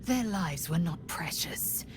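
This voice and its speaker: A woman's voice: on the low side, expressive, slow pace, background noise. An actress playing a proud, disdainful alien warrior woman in a video game, speaking in formal, haughty declarations. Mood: scornful. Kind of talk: video game character acting.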